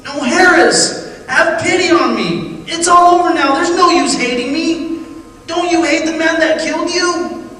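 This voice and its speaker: A high-voiced condemned man, played by a male radio actor, pleading and agitated. The tone is sharp and strained, insistent in the manner of vintage radio horror. It sounds distant, swimming in heavy echo. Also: measured pace, exaggerated voice. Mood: bitter, pleading. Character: desperate condemned man pleading with ghosts of the dead. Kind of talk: old-time radio horror acting. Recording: echoey reverberant radio drama, distant, hissy.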